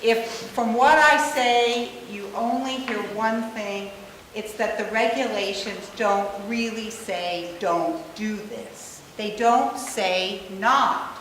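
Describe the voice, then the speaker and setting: Middle-aged american doctor lady giving a talk, loud room echo, heard from across a hotel conference room. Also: fairly high pitch, slow pace, slight background noise. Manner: conversational, emphatic, repeats points. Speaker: physician speaker. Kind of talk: conference presentation. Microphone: no mic, room pickup. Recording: echoey hall from far off.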